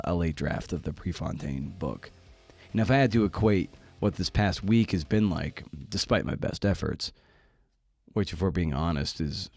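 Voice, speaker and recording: A man's voice: low-pitched, darkish tone. A middle-aged man hosting a podcast in a casual style, with a wry, self-deprecating manner. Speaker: podcast host. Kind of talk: casual podcast monologue and interview.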